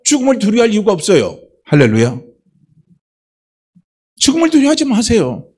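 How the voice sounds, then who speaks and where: Male pastor from Chungcheong, husky tone, animated sweeping pitch, preaching to his church. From Chungcheong, South Korea. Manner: preaching with rhetorical questions. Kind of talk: sermon.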